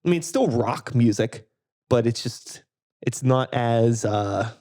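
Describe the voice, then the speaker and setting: A man's voice: mid-range voice, expressive, a little slow, warm tone. A man, a band member, talking earnestly and swearing casually in a podcast chat.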